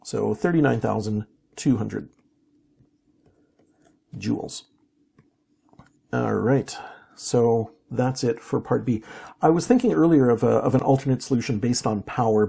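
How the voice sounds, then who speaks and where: A man with a slightly hoarse, raspy voice works through physics problems aloud, thinking as he goes, with many fillers and restarts. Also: lowish voice, dark tone. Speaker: physics teacher. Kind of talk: unscripted worked-problem walkthrough.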